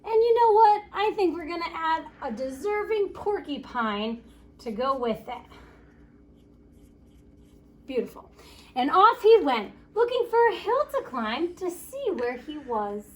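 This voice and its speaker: A woman reading a picture book aloud to children in an expressive, playful storytelling way, with chatty asides. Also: very high voice, unhurried, slight background noise. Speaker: story time reader.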